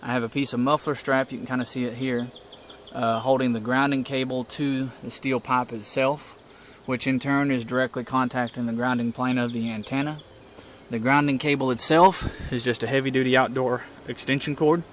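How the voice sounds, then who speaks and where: Man, mid-pitched voice, casual explanatory walkthrough, folksy and plainspoken, outdoor recording, clear insect and bird noise. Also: fairly even intonation, normal speed. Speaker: hobbyist presenter. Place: outdoors beside a house porch. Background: insects, birds, outdoor ambience.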